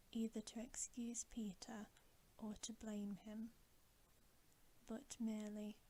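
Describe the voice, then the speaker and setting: A woman reading a bedtime story as ASMR in a soft, breathy whisper, gentle and soothing. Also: medium pitch, poor audio.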